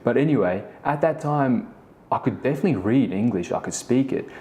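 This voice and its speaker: A man's voice: mid-range voice, lively intonation, brisk, warm tone. A man in his thirties with a South African accent, Afrikaans his first language, hosting a casual solo podcast and telling a story with many restarts. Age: 30s. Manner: rambling, frequent restarts and "the thing is". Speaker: podcast host, business co-founder.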